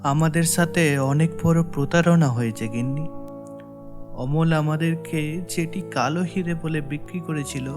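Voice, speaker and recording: Guy as a naive poor villager in a cartoon, earnest n pleading, highish voice, music under. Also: moderate pace, natural voice. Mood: despairing. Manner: earnest, pleading, stammers when upset. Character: gullible, earnest poor village husband, easily distressed. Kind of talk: cartoon story acting. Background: background music.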